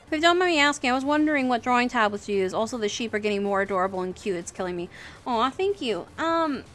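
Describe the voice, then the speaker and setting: A woman's voice: on the high side, lively intonation, fast talker, fairly bright tone. A woman chatting casually and informally as a livestream host, with an encouraging manner. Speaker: livestream host and digital artist. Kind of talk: casual livestream chat while drawing. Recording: music playing behind the voice. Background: music.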